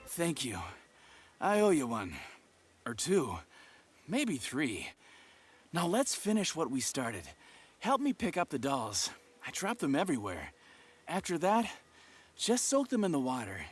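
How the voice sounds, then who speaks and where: Keen young adventurer in a game, super swoopy lively pitch, chatty, bit of background noise. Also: male voice, a little slow, long pauses. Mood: relieved, then brisk, friendly. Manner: animated, earnest, chatty. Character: eager, trusting young male adventurer, friendly and smitten. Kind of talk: video game cutscene acting.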